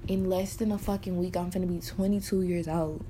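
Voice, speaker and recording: A young woman in her early twenties speaks in a frank, confessional monologue. Her voice sits a little low, with a warm, mellow tone. She swears freely and rambles through plenty of fillers as she talks. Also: fairly even intonation, brisk, a little hiss or hum. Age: early 20s. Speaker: podcaster. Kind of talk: candid personal monologue.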